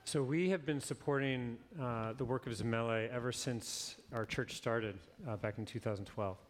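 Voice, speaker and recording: A man talks to his church congregation in a loose, chatty way, leaning on fillers as he goes. His voice sits at a middle pitch for a man. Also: normal speed, slight background noise. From United States. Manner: casual, conversational, with frequent fillers.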